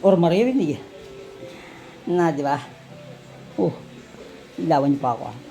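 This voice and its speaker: A Filipino vlog host casually showing gear, mixing Tagalog and English in a halting way and often tossing small questions to viewers. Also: mid-range voice, expressive, vocal fry, mellow, soft background music. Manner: Taglish code-switching. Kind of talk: casual chat, product showcase.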